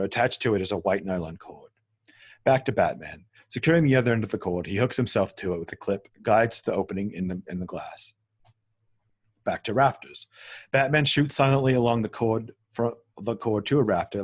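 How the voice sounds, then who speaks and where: Guy with a lowish, kinda husky voice reading script directions out loud for a table read. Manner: stumbles and corrects himself while reading. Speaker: narrator reading stage directions. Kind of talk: screenplay table read narration.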